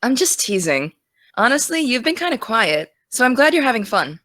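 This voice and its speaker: A woman's voice: a little high, sing-song, moderate pace, a bit bright, no room sound, studio quality. An actress playing a gung-ho teenage girl podcaster, teasing and friendly, in a naturalistic audio drama. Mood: keen, friendly. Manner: teasing, enthusiastic.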